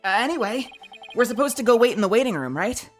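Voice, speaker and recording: A woman voicing a cheerful, excitable young woman lawyer in a chatty game reading. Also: on the high side, fast, faint music behind, pitched above the performer's own voice, close to an everyday voice.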